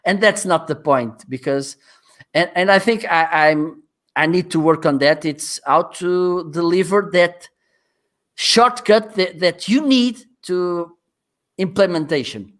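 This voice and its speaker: A Portuguese-accented man hosts a live interview, speaking slowly and searching for his words, with frequent restarts and easy laughs. His English is a second language, and he pushes on through each thought. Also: high voice, fairly bright tone. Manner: halting, restarts often, laughs easily. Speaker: host.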